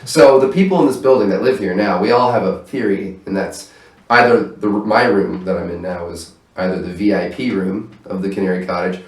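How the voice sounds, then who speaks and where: Man, low voice, casual rambling, swears a lot, echoey room, distant mic. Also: a little fast. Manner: loose and chatty, swears freely, laughs often. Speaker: stage manager interviewed as guest. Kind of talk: casual interview chat. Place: his own apartment room, indoors. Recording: roomy echo, voice far from mic.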